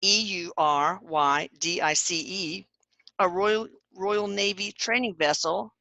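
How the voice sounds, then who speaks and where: A woman's voice: lowish voice, takes it slowly, pushed and bright, no echo. A middle-aged woman hosting a casual chat, reading aloud from notes with joking asides and spelling out names. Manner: chatty, jokey, reads with asides and spelled-out names. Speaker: host.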